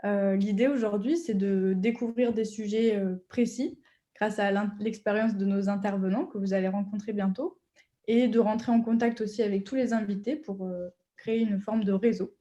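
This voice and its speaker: A woman's voice: mid-range voice, fairly even intonation, moderate pace, dark tone, highs cut off. A woman hosting an online webinar, explaining the program with frequent hesitations. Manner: hesitant, many fillers. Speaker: webinar host. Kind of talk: webinar moderation.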